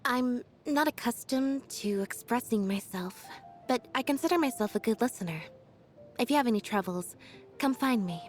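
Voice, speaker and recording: A woman voicing an aloof, quiet young female magician's assistant in a video game, deadpan, reserved and understated. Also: on the high side, moderate pace, faint music behind, close to an everyday voice. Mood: calm, secretive. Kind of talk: video game character voice lines.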